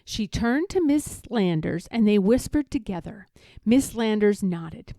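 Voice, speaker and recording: A woman narrating a children's storybook aloud in a measured, expressive manner. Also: mid-range voice, no echo. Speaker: storybook reader.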